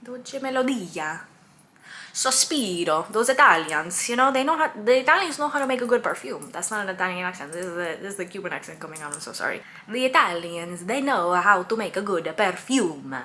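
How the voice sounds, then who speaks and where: Woman, casual perfume haul chat, crisp bright tone, gushing, filler-heavy. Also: mid-range voice, expressive, brisk, slight background noise. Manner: chatty, gushing, lots of "like" and trailing notes lists. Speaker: perfume content creator.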